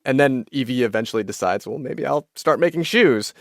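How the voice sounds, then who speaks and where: A man's voice: medium pitch, lively intonation, fairly quick, dry recording, studio quality. A man hosting a radio podcast, mixing scripted narration with conversational interview questions.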